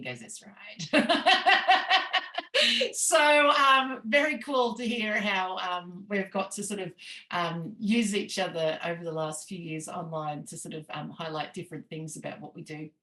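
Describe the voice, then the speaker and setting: A woman's voice: mid-range voice, pushed and bright. Aussie woman hosting an online meetup, rambly and chatty, roomy echo. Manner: chatty, rambling, many ums. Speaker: networking meeting host. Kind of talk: casual introduction on a video call.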